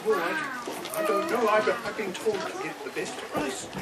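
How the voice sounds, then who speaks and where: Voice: mid-range voice, animated, normal speed, over a noisy crowd, close to an everyday voice. A performer voicing a naive, earnest five-year-old boy in a children's puppet show. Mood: hesitant. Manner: earnest, hesitant, simple. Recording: outdoor far camera, noisy background.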